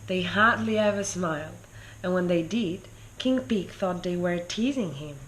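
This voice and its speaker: Slow-paced woman reading a children's storybook. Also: fairly low pitch, a little hiss or hum, rough recording. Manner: deliberate, expressive storytelling. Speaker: story reader. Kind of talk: children's storybook read-aloud.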